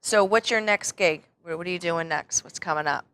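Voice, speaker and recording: A woman hosts a relaxed chat, her voice low and steady. She keeps the talk going with quick agreement and simple follow-up questions. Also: clean tone. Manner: encouraging, frequent agreeing interjections. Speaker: interview host, salon owner. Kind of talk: casual interview chat.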